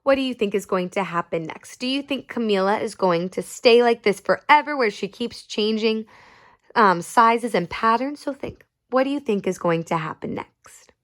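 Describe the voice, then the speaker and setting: A woman reading a children's picture book aloud, pausing to ask her young listeners questions. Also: a little high. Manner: slow and expressive, asks listeners questions. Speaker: storyteller.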